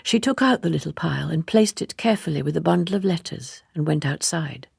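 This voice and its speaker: Low, creaky-voiced woman reading a story. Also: animated, a little fast, a little mellow, dry recording, studio quality. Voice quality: husky, creaky. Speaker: audiobook reader. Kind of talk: scripted literary narration for radio.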